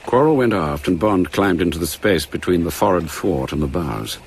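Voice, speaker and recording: An actor as the measured narrator of a radio spy drama, reading scripted storytelling. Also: male voice, low-pitched, lively intonation, slight background noise.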